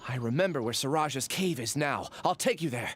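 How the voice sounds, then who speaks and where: A man playing an earnest, polite, soft-hearted young character in a video game. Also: medium pitch, expressive, brisk, slight background noise, lightly acted.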